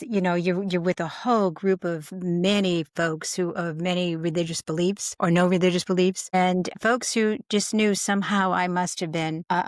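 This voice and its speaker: A woman's voice: fairly low pitch, dry recording, studio quality. Older woman with a slight Boston accent. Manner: candid, storytelling, quick asides. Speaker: guest, integrative medicine coach. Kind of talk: casual interview chat.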